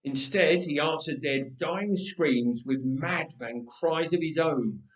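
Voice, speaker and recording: A man narrates as a storyteller with deliberate, unhurried phrasing and dramatic pauses. His voice sits a little high for a man and has a clear roomy echo around it. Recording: roomy echoing voice recording.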